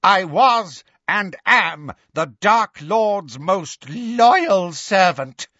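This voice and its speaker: A man voicing a cruel, gloating dark witch in an audiobook, taunting and fanatical with drawn-out words. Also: sits high, lively intonation, no room sound, highs cut off, pitched above the performer's own voice, full character voice. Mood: fierce, fanatical. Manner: taunting, drawn-out, mock baby talk at times. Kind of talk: audiobook character voice.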